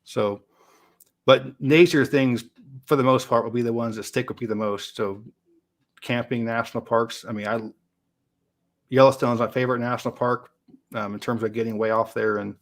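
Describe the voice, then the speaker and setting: A man's voice: mid-range voice, steady tone, moderate pace, pauses often. A man with an American accent in a casual, unscripted conversation, thinking aloud and sometimes trailing off as a geography creator. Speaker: YouTube geography creator in a two-way interview.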